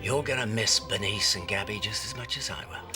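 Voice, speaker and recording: A man's voice: on the low side, a little fast, over music, close to an everyday voice. An actor playing a genial, teasing middle-aged man in a soap, talking in a casual, coaxing way. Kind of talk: naturalistic TV soap acting.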